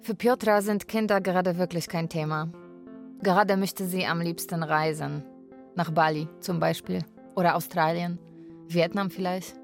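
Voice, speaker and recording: An actress playing a polite, persistent young Polish carer in a radio drama, with careful phrasing, both narrating and speaking. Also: female voice, on the low side, steady tone, moderate pace, vocal fry, soft background music. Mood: earnest. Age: young adult. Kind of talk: radio drama, part narration in third person.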